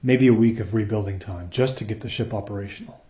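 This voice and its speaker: A man playing a dutiful crewman reporting to his captain in a radio play, earnest, measured and deferential. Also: fairly low pitch, warm tone, lightly acted. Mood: earnest, concerned.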